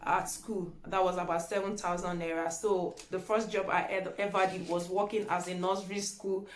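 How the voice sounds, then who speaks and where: A woman's voice: lowish voice, level tone, normal speed, a little hiss or hum. A woman of about thirty with a Nigerian accent, a native Yoruba speaker, chatting casually as a vlogger and repeating words for emphasis. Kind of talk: casual chat answering tag questions. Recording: echoey room.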